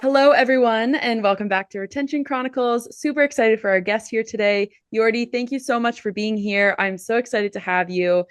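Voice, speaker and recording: A woman's voice: fairly high pitch, a little fast, few pauses, clear, resonant tone, no echo. A woman hosting a podcast interview, upbeat and chatty with fillers. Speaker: podcast host.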